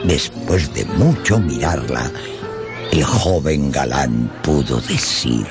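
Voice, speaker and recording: A man narrating a radio drama in an expressive storytelling style. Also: rumbling low, takes it slowly, creaky. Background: music under the narration.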